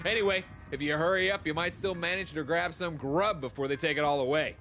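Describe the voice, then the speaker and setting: A man's voice: fairly high pitch, fairly quick, music in the background, natural voice. A man voicing a jovial, gossipy upper-class chap in a game, breezy and hearty.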